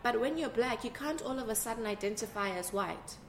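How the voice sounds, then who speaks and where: Woman from South Africa, animated storyteller, roomy echo, faint background ambience. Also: a little high, a little fast, slight background noise. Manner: animated storyteller, acts out quoted lines. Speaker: guest artist. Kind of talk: casual conversation.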